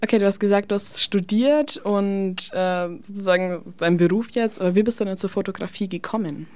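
A woman's voice: medium pitch, brisk, darkish tone. A woman hosting a radio interview, asking friendly questions with fillers. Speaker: radio host.

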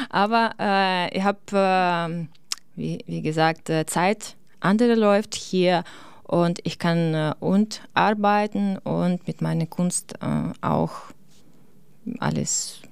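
A woman from Ukraine, a photographer in her forties, answering interview questions in halting German with many fillers. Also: on the low side, vocal fry, clean tone, no echo. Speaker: guest photographer. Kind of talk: radio interview.

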